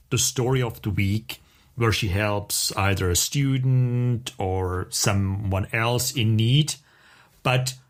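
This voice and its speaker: A man speaks as a podcast co-host in a casual, conversational style. His voice sits at an average pitch, and he talks haltingly, working out his phrasing as he goes. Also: fairly bright tone. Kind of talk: casual podcast chat, recommending TV shows.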